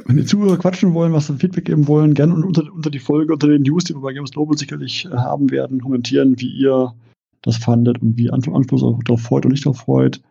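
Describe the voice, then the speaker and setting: A man's voice: medium pitch, steady tone, fast talker, vocal fry, dark tone, slightly rough. A man who is a guest on a podcast, making short, dry remarks in casual chat. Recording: dull lo-fi sound.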